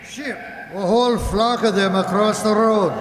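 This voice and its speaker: An actor playing a sly, gruff, obedient henchman sidekick in a radio play. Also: high-pitched, fairly even intonation, unhurried. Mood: exasperated. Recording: very echoey hall, distant.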